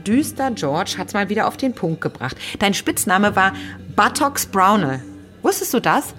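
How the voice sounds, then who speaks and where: A woman's voice: mid-range voice, very animated, normal speed, a bit bright, soft background music. An actress playing an insistent, teasing woman in a naturalistic German radio drama, slipping into English quotations. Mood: amused, teasing. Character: insistent woman hunting a writer's lost typewriter.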